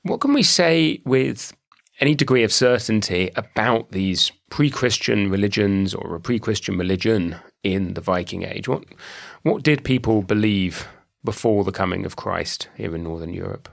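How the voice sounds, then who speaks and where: British guy hosting a history podcast, lowish gravelly voice, measured and curious. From British Isles. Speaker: podcast host. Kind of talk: scripted intro then interview.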